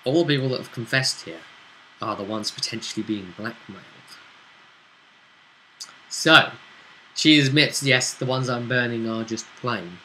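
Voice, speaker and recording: Guy doing a game master recap, bright forceful voice, chatty and halting, some noise behind him. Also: mid-range voice, poor audio. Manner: halting, conversational storytelling. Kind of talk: casual recap of a tabletop role-play session.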